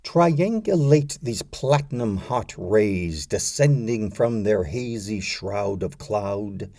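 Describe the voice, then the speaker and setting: Slow, expressive man reading poetry. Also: lowish voice, dry recording, clean studio sound. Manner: measured, deliberate phrasing. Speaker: audiobook narrator reading poetry. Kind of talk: scripted poetry recitation.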